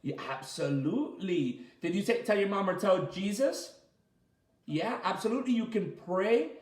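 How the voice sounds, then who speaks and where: High-voiced man coaching kids, echoey room. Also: expressive, a little mellow. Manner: encouraging, praising, explains slowly and simply with repetition. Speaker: martial arts coach leading children's story time. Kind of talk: interactive children's lesson, chatty.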